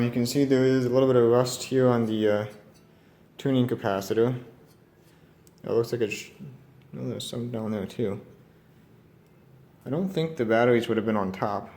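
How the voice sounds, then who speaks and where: A man giving an unscripted hands-on walkthrough, musing aloud in a tentative, halting way. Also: lowish voice, darkish tone. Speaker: radio repair hobbyist presenting. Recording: roomy echo, background noise, varying distance.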